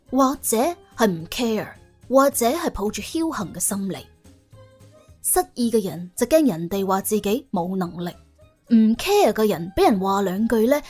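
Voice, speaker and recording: Lively higher-pitched Cantonese woman storyteller. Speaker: audiobook narrator. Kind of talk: colloquial, expressive scripted storytelling.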